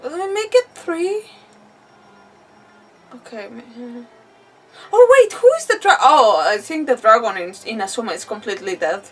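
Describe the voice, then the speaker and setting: High-voiced woman streamer, a little distant. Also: lively intonation, slightly rough. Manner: halting, giggly, thinks aloud. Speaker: game livestreamer. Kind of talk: casual live gameplay commentary.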